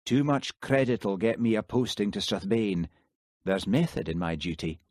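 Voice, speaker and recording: A gloomy Highland constable, dry and grumbling, with a wry edge, as a male reader voices him. The voice sits a touch above the reader's low own tone. It is recorded close and dry. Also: moderate pace, darkish tone, dry recording, natural voice. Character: dour Highland village policeman, wry and gloomy. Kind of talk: audiobook character voice.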